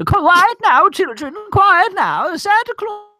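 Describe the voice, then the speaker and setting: A woman's voice: extremely high pitch, sing-song, slow pace, pushed and bright, exaggerated voice. A woman playing a fussy housekeeper in a comic old-time radio drama, theatrically announcing and herding children. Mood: excited, hushing. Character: fussy English housekeeper Mrs Hudson, herding excited children.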